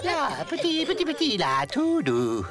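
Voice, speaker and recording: An actor voicing a suspicious, jittery school caretaker in a French cartoon. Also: male voice, extremely high pitch, wildly swooping pitch, a little slow, full character voice. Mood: flustered. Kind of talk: French animated series dubbing. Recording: loud music behind the voice.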